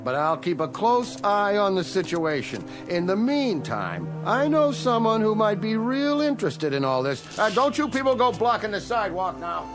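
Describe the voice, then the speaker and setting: A man's voice: sits high, sing-song, normal speed, hardly any pauses, over music. A man acting a suspicious, nosy police officer in a radio drama, blunt and questioning. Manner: blunt, interrogating.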